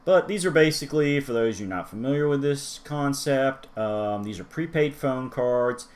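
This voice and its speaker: A man's voice: medium pitch, expressive, moderate pace. A man hosting a casual manga review, talking chattily with asides to the viewer. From United States. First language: English. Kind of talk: casual solo review talk.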